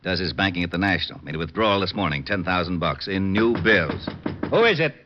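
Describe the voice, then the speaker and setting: Male police lieutenant, deep, gruff, skeptical, lively intonation, radio play, dull old recording. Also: fast, background noise. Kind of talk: 1940s-50s radio acting.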